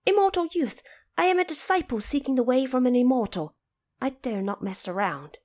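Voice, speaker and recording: Female performer as an excitable monkey king, high lifted voice, earnest, courteous, audio-drama fantasy. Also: normal speed. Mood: excited, respectful. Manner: exclamatory, earnest, formally polite.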